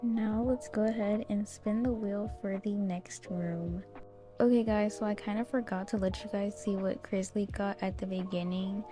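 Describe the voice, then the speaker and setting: A woman's voice: medium pitch, fairly even intonation, moderate pace, music in the background. A young woman giving casual, chatty commentary over a video game as host.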